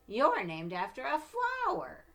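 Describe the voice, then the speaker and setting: Lady doing a snooty mean schoolgirl from a kids book, loud n pointed, kinda high know it all. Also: huge pitch swings, a little slow, full character voice. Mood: smug taunting. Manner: haughty, informing others pointedly. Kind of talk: children's storybook character reading.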